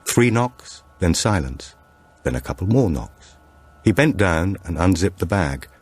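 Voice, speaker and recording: A male audiobook narrator with a notably deep voice. He reads scripted suspense fiction as a storyteller. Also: sing-song, a little slow, breathy edge.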